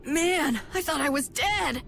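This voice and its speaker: A woman voicing an excitable, easily scared young boy adventurer in a video game cutscene. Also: sits high, slow, gravelly, faint music behind, heavily acted. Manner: excitable, yelping.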